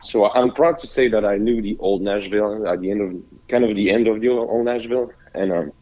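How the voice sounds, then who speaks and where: A man's voice: fairly low pitch, brisk, muffled recording. A restaurant owner from France with a French accent, telling his story hesitantly with many restarts. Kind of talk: casual interview.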